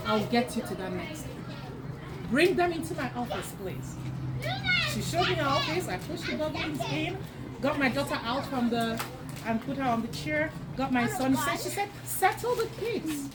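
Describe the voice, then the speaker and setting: A woman from Nigeria sharing her personal story as a bank employee at an outdoor networking event, animated and laughing often. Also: a little high. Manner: animated, laughing often, acts out quoted voices. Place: outdoor park. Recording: noisy outdoor recording.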